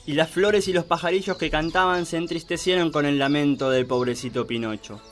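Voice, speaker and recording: High-voiced man narrating a comic tale. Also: a little fast, over loud music. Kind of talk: comic children's storytelling narration.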